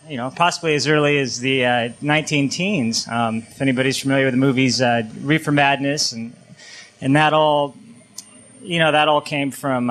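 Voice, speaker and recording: A man giving his opinion in an informal panel discussion, explaining his points in a halting, explanatory way. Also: medium pitch, a bit bright. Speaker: panel speaker on a radio talk show.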